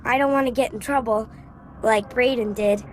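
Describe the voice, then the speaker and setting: A woman voicing a frightened, pleading child in a video game, timid and halting in her delivery. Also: a little high, fairly even intonation, slight background noise, close to an everyday voice, rough recording. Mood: timid, scared. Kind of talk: video game character acting.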